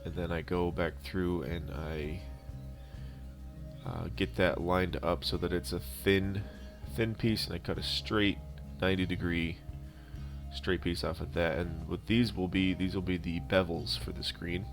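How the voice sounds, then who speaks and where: A man with a deep voice gives a casual voice-over for a hands-on prop-making tutorial. He explains things in an unscripted, halting manner, as a hobby host would. Instrumental music plays behind his voice. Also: steady tone, gravelly.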